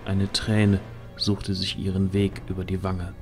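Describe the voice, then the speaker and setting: A man with a fairly low voice tells a scripted fantasy tale as its narrator. Music plays underneath his dramatic storytelling. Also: completely flat delivery, measured pace, darkish tone.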